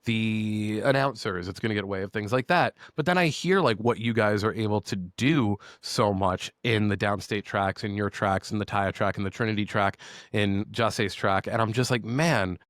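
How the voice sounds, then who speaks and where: Male host with a deep voice, eager and chatty, dry and close. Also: normal speed, fairly bright tone, dry recording. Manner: enthusiastic, talkative. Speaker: podcast host. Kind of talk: casual interview chat.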